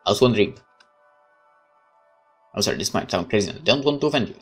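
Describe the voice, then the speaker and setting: A woman's voice: very deep voice, animated, fast, husky, faint music behind, heavily acted. A woman playing a naive, awkward, earnest young male soldier in a visual novel game. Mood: awkward, hesitant.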